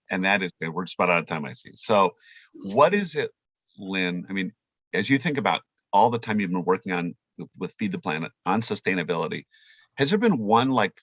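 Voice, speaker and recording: A man's voice: low voice, dry recording. A man who works as a chef and presenter, talking in a casual, playful, emphatic interview style. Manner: animated, informal, playful, emphatic.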